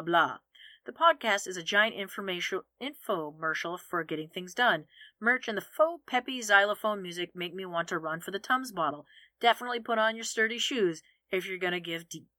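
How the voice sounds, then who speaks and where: A woman co-hosting a podcast, chatting casually and telling stories in a candid, animated way. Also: lowish voice, no room sound. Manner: candid, animated storytelling with frequent restarts. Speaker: podcast co-host. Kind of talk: casual conversational chat.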